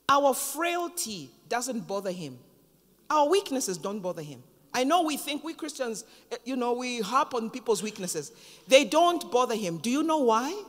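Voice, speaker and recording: A Zambian woman in her fifties preaching a sermon from a pulpit on a handheld microphone, emphatic and dramatic, with long pauses. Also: sits high. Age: 50s. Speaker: pastor. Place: large church hall, on stage at a pulpit. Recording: long reverb of a church hall.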